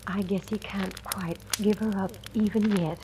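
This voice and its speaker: A woman's voice: mid-range voice, fairly even intonation, unhurried, lightly acted. An actress playing a blunt, bitter, resigned household woman in old-time radio drama style. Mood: resigned, hushed. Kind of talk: 1940s-50s radio drama acting. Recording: old radio recording, faint noise.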